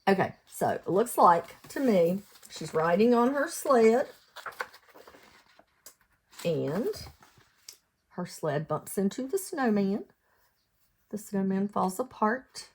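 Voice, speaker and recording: Slow, expressive American woman reading to children. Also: medium pitch, slightly rough. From United States, lived in West Virginia. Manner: expressive, sing-song, asking the children questions. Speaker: preschool teacher reading to children. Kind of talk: read-aloud storytelling for young children.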